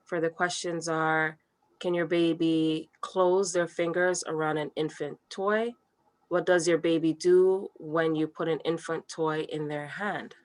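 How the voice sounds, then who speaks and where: A woman reading checklist questions aloud to parents as a program facilitator. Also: fairly low pitch. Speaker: early childhood program facilitator.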